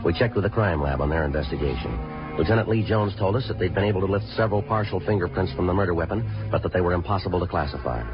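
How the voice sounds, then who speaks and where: Man playing a low, clipped, deadpan police detective. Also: rapid-fire, natural voice. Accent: American. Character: terse, matter-of-fact male police homicide detective sergeant. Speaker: narrator and lead detective. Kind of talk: 1950s radio crime drama narration and dialogue. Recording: dull vintage radio recording with music.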